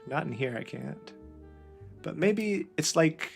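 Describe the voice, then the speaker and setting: Gravelly man thinking aloud while gaming. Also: fairly high pitch, lively intonation, slow, faint music behind. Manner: musing, thinking aloud, often trailing off. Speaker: video game player. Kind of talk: gameplay commentary.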